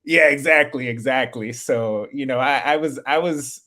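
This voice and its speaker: A man's voice: medium pitch, moderate pace, bright, forceful tone. A man in his thirties from New York City, a physician talking about his career in a friendly, eager way, often repeating words as he restarts. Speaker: infectious disease physician, guest. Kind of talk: casual interview.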